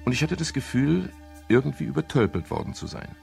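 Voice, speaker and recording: An actor playing a wry, world-weary private detective in a radio crime drama, with a gravelly voice and dry, unhurried manner. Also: male voice, medium pitch, big pitch swings, darkish tone, soft background music. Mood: reflective narration. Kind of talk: 1970s German radio crime drama acting and narration.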